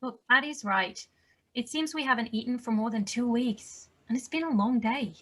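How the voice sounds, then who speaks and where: A woman's voice: fairly high pitch, moderate pace, a bit bright. A woman at a table read playing a practical, bossy woman who takes charge. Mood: reasoning.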